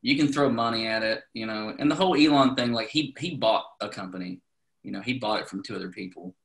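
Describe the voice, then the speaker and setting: A man's voice: fairly low pitch, fast, low-quality recording. A man hosting a casual podcast, laid-back and slangy, calling people dude. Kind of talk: casual chat.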